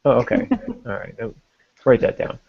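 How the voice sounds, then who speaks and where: A man's voice: medium pitch, huge pitch swings, moderate pace, vocal fry, slightly rough, dry recording, narrow, tinny audio. A man hosting a podcast, explaining casually and thinking aloud, with some joking along the way. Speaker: podcast host.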